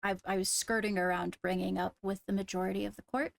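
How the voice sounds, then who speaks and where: A woman playing a guarded young royal in an improvised Minecraft roleplay, speaking in a measured, deliberate way as she reasons aloud. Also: mid-range voice, level tone, creaky, close to an everyday voice. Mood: serious, deliberating.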